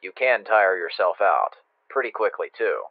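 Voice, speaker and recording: A lowish male voice from an actor plays a sardonic military trainer in a game tutorial. It comes through a narrow radio filter, very dry. His delivery is casual and impatient. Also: very animated, moderate pace, no room sound, exaggerated voice. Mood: instructive. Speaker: tutorial instructor. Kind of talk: video game tutorial narration. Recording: thin, dry radio-like band-limited sound.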